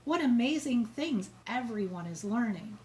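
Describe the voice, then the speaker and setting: A woman voicing a proud, earnest, excitable male school principal in an emphatic children's storybook read-aloud. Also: fairly high pitch, measured pace, slight background noise. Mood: proud, enthusiastic. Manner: emphatic, repetitive, exclaiming.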